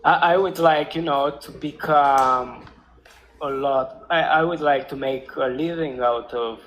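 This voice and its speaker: Slow, halting Romanian-accented man. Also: mid-range voice, fairly bright tone, slight background noise, rough recording. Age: 30s. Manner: hesitant, halting, many fillers and restarts. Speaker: guest. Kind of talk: casual chat.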